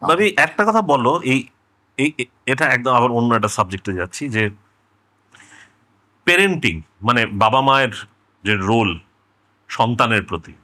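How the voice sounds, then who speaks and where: Bright-voiced man interviewing casually. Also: mid-range voice, big pitch swings, moderate pace, pauses often.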